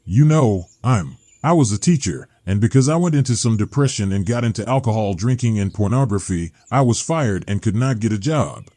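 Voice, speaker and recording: A man's voice: fairly low pitch, animated, fairly quick, hardly any pauses, warm tone, no echo. A man playing an agitated, embittered homeless middle-aged ex-teacher, blunt, folksy and profane, in a dramatized comic.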